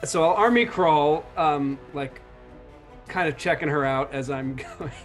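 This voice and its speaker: A man's voice: fairly high pitch, measured pace, soft background music. A man chatting casually as a player in a roleplaying game, jokingly describing what his character does.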